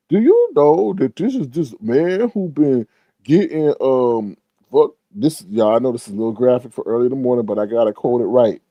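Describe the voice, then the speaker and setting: Congested man gossiping, dark dry voice. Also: no echo. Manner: animated, laughing, loud pitch jumps on reactions; slangy, says "child" often. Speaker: commentary channel host. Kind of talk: casual gossip commentary stream.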